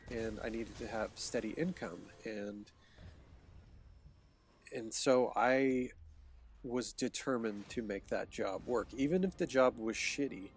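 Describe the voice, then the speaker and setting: A man in his thirties with a cold, telling his own past in a hesitant personal monologue full of restarts. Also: mid-range voice. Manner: hesitant, many restarts and fillers. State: congested from a cold, sniffling. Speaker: vlogger recounting his past.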